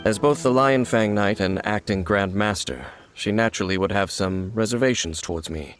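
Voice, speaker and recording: A man's voice: lowish voice, normal speed, soft background music, close to an everyday voice. An actor playing a reserved, composed swordsman in a video game, speaking formally. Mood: cool, measured.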